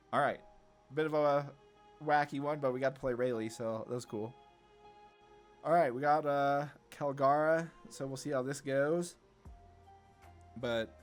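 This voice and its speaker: A man giving casual, laid-back gameplay commentary on a card game, thinking aloud as he plays. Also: mid-range voice. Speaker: gaming content creator.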